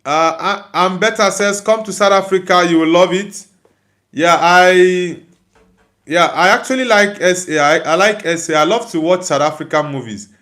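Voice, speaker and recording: A man's voice: high voice, moderate pace, fairly bright tone. A man with a Nigerian accent hosting a casual football livestream, chatting and responding to viewers. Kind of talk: casual live chat, reading and answering viewer comments.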